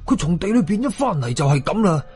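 Man voicing a high, frightened village man. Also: sing-song, fast, darkish tone, soft background music. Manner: anxious, pleading.

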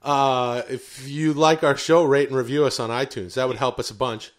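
A man's voice: medium pitch, fairly even intonation, normal speed. A man co-hosting a comedy podcast in teasing, mock-serious banter. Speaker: podcast co-host.

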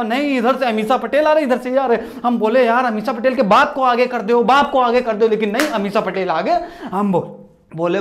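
A young man doing stand-up, retelling a movie in a rustic storyteller's manner. He shouts at the characters and acts them all out, recorded on a lapel mic in a small room. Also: very high voice. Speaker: stand-up comedian. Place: small indoor room with posters. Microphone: lapel mic, camera at mid distance.

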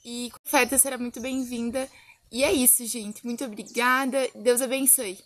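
A woman's voice: on the high side, fairly even intonation, normal speed. A young adult woman with a Brazilian accent making an informal video appeal to viewers from a small room indoors. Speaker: missionary fundraiser.

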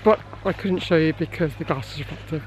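A man's voice: on the high side, a little fast, rough recording. A man. He chats casually to a camera held at arm's length while out and about in the open air, as a vlogger does. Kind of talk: casual vlog chat to camera. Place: outdoors at a zoo farm. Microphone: handheld camera at arm's length.

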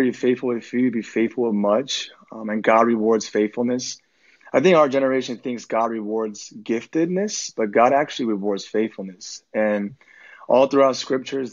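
A man's voice: medium pitch, a little mellow. Earnest young man, slightly dull audio. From Korea. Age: 20s. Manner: earnest, easygoing, says "dude" and "man". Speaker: minister. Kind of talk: casual conversation.

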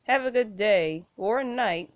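A woman's voice: medium pitch, takes it slowly, dry recording. A young adult woman delivering a scripted commentary rant in a complaining, slightly stilted way. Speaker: YouTuber giving a rant.

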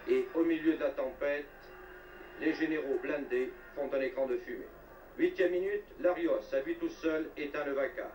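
A man's voice: a little high, moderate pace, a little mellow. A man narrating a scripted football report with dramatic, wry and literary phrasing. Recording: roomy, distant, noisy old TV soundtrack.

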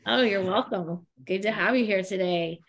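Woman host with a gravelly voice. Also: mid-range voice, normal speed. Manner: friendly and informal, with frequent fillers. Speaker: webinar host. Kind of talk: webinar announcements. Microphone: video call.

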